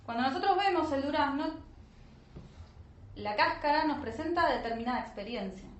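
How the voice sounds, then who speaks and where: High-voiced woman lecturing in an echoey room. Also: animated, moderate pace. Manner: didactic, frequent check-in questions. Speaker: teacher of an online spiritual alchemy course. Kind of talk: explanatory lecture to students. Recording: roomy echo, dull lo-fi sound, faint noise.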